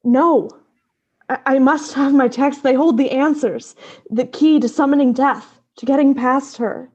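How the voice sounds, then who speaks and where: An actress playing an ailing woman scholar obsessed with dark magic, intense and portentous, in a gothic radio play. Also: high voice, fairly even intonation, unhurried, highs cut off. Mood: desperate, fervent. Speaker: actress in an audio drama.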